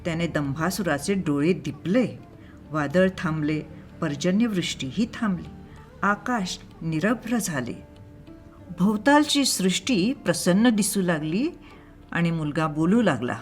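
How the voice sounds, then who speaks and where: A woman narrating a scripted moral story in a measured, expressive storytelling manner. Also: fairly low pitch, soft background music. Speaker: storyteller and channel host.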